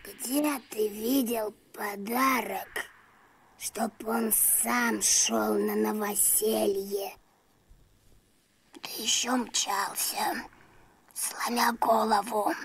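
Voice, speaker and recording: A woman voicing a slow, grumbling female turtle in a Soviet puppet cartoon, drawling and matter-of-fact. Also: on the high side, steady tone, gravelly, a little hiss or hum, heavily acted. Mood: grumbling, deadpan.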